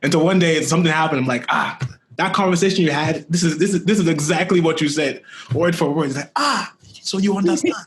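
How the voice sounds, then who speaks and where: A man hosting a podcast interview in a relaxed, easygoing way, laughing often and leaning on "you know". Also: a little high, fast, slight background noise. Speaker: podcast host. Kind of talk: casual interview chat.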